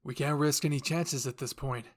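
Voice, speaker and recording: A serious male military commander from an anime fan drama, voiced by a male narrator. He sounds firm and measured, with cautious authority and a middle pitch. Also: steady tone, lightly acted. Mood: stern, cautious.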